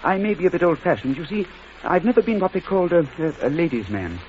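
An actor playing a shy, fussy, old-fashioned schoolmaster who hesitates and stammers, in an old-time radio drama. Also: male voice, fairly high pitch, animated, quick pace, slight background noise, natural voice. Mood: shy, exhilarated. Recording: dull old radio recording.

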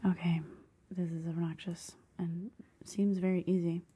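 A woman vlogging in a hushed half-whisper, slightly hoarse, reacting to quiz questions with bursts of excited disbelief. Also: on the low side, unhurried. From Cuban family background. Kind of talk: casual chat, reading quiz questions aloud.

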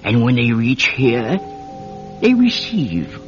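A serene, slow afterlife guide, lilting. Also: male voice, medium pitch, wildly swooping pitch, soft background music. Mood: serene, amused. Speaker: actor. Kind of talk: radio drama acting. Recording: dull lo-fi radio recording.

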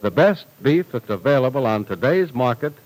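A man announcing a vintage radio serial in a scripted style, with episode teasers and sponsor pitches. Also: sing-song, moderate pace, darkish tone, low-quality recording. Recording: vintage radio broadcast recording.

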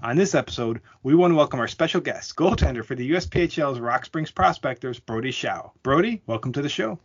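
A man hosting a hockey podcast, his voice sitting at an ordinary middle pitch. He talks in an upbeat, welcoming way. The lo-fi podcast audio sounds a little dull. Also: animated, a little fast, husky. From Canada. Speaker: podcast host. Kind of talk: casual hockey podcast chat.

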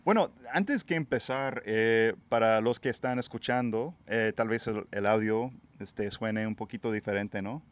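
A man from Texas hosting a casual podcast chat outdoors by a pool, English his first language, talking in a relaxed, hesitant way with many fillers and restarts. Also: on the low side, unhurried, hardly any pauses, dry recording. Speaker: podcast host.

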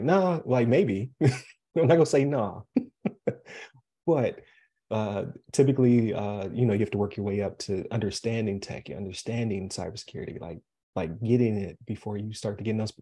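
A man's voice: fairly low pitch, dark tone, slightly rough. An American man from Buffalo, New York, hosting a podcast interview in a casual, chatty, rambling way. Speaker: podcast host.

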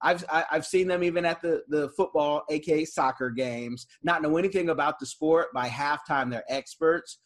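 A man's voice: on the high side, normal speed, hardly any pauses. A man hosting a casual conversation, telling stories and laughing between remarks. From United States.

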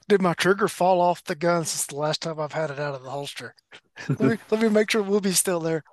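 A man with a fairly high voice leads a training panel. He jokes a little and puts questions to the guests, and his voice is recorded very dry. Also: brisk, dry recording. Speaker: panel moderator. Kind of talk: panel discussion.

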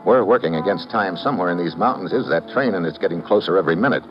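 Low-voiced hard-boiled radio investigator. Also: male voice, expressive, fast talker. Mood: terse narration. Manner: clipped, wry, tough-guy delivery. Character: hard-boiled, sardonic male investigator telling his own case. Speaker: lead actor and narrator. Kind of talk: classic radio adventure drama acting. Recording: muffled old radio recording, music behind.

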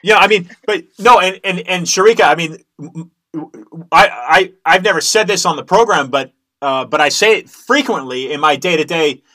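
A man hosting an interview, halting and stumbling through his questions. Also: a little high, pushed and bright. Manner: halting, many restarts and fillers. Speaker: host.

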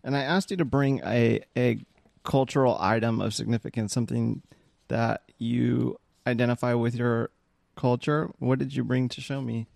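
A man interviewing a guest, asking hesitant questions with soft murmured acknowledgements. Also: mid-range voice, steady tone, normal speed, creaky, no room sound, clean studio sound.

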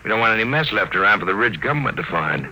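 Hard, sharp-voiced ruthless boss. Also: male voice, on the low side, big pitch swings, racing, husky, exaggerated voice, poor audio. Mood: cold, ruthless. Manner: hard, menacing, contemptuous. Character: ruthless, cold male gang boss and schemer. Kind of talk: 1950s radio drama acting. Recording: old radio recording with faint noise.

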